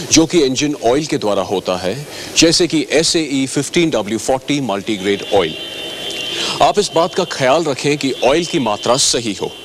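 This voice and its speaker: A man delivers a scripted training presentation as a service advisor. His pitch moves in a lively way as he courteously instructs the viewer, with faint vehicle and ambient noise behind him.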